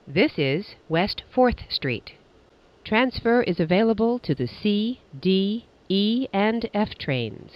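A man's voice: high-pitched, very animated, takes it slowly, highs cut off. A man reading scripted transit announcements as a train announcer, in formal, segmented phrasing.

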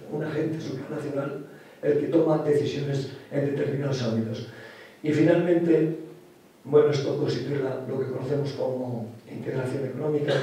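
A middle-aged man lectures in a mellow, slightly raised voice, walking the room through each point and checking in with quick tag questions. He is caught by a camera across a very echoey lecture hall. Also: a little high, distant mic. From Spain. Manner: explanatory, with frequent tag questions and restarts. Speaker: university lecturer. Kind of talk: academic lecture. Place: lecture room, standing beside a projection screen. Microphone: far room camera.

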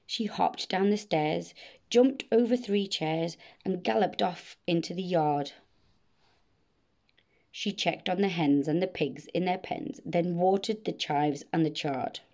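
A woman reading a rhyming children's bedtime story in a measured, expressive way. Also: on the low side. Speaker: storybook reader.

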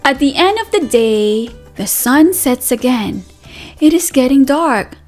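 A woman's voice: high-pitched, animated, measured pace. A woman reads a picture book aloud to toddlers as a teacher, in a sing-song, exaggerated manner, repeating words and asking questions. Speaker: children's read-aloud teacher. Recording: voice over background music. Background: music.

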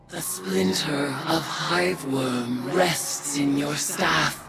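A woman playing a vengeful witch turned dark god in a video game, ceremonial and commanding, with a low gravelly voice. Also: full character voice. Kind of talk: dramatic video game voice acting. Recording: loud music underneath.

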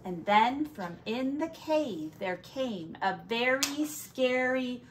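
A woman teacher reading a picture book aloud to young children, with drawn-out emphasis and playful asides. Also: high voice, lively intonation, noisy background.

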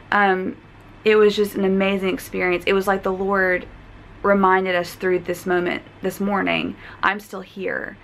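A young woman with a hoarse voice, talking earnestly to a handheld camera in a hotel room, with many fillers and restarts. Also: mid-range voice, slight background noise. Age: young adult. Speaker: travel vlogger. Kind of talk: casual vlog chat. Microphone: phone camera at arm's length.

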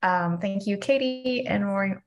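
A woman's voice: medium pitch, measured pace, muffled recording. A woman of about forty from Hong Kong, giving a casual, self-deprecating artist talk over a video call. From Hong Kong, later Hawaii. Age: 30s or early 40s. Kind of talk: casual, personal slide presentation.

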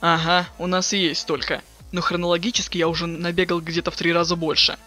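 A man's voice: fairly high pitch, flat delivery, fast talker, bright, forceful tone, soft background music. A man playing a cool, impatient, sardonic teenage boy in a dramatic webcomic reading. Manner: flippant, sardonic, slangy.

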